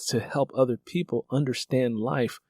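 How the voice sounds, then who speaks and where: A middle-aged man hosting an author interview, talking conversationally as a fellow novelist, rambling with frequent filler phrases and animated when storytelling. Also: medium pitch, moderate pace, no room sound, studio quality. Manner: animated storyteller who acts out remembered exchanges; rambling, repeats "you know".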